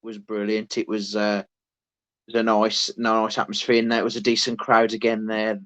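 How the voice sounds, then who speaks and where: A man's voice: fairly low pitch, monotone, moderate pace, fairly bright tone. A man, co-hosting a podcast, who rambles in casual chat with many restarts. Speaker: podcast co-host.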